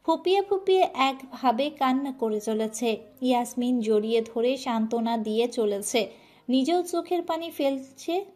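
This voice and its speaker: A woman's voice: fairly high pitch, warm tone. Woman narrating an emotional story.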